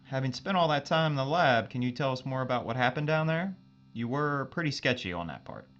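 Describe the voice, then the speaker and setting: A man's voice: medium pitch, lively intonation, a little fast, fairly bright tone, a little hiss or hum, natural voice. A man playing a wisecracking, casual spaceship crewman in a science fiction audio drama. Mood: sceptical. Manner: casual, sardonic. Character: wisecracking, laid-back male spaceship crewman, loyal to captain. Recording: dull band-limited audio with faint music.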